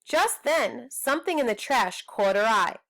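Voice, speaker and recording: A woman teacher reading a children's storybook aloud in a measured, deliberate way. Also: a little high, lively intonation, a bit bright. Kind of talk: storybook read-aloud narration.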